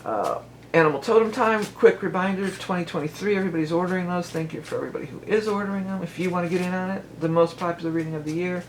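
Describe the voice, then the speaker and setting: A woman with a lowered voice, doing a chatty, rambling tarot reading for her vlog, full of fillers and restarts. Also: fast, a little hiss or hum, rough recording. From Cleveland, Ohio. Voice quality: lower voice than she once had. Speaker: tarot reader and musician.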